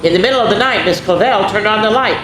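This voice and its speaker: A woman's voice: mid-range voice, fast talker. A woman hosting a children's story time, reading picture books expressively and chatting directly to her young listeners. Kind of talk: animated picture book reading with chatty asides. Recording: echoey room, clearly noisy background hum.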